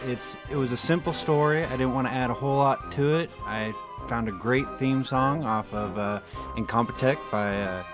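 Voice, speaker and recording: A man, an audio editor, giving casual, rambling behind-the-scenes commentary in an informal way. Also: mid-range voice. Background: music.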